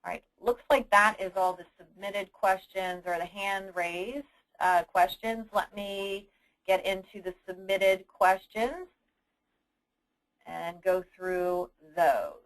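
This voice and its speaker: Lady running a q&a conference call, explains stuff in a businesslike way, bit halting, average pitch. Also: rough recording. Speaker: host of an insurance agents' conference call. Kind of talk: question-and-answer conference call briefing. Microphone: telephone conference line.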